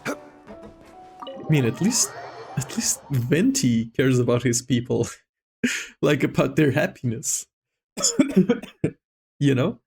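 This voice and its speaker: A man's voice: mid-range voice, huge pitch swings, slow, creaky, pauses often, darkish tone, soft background music. A man doing casual game-stream commentary in a rambling, amused, blunt way.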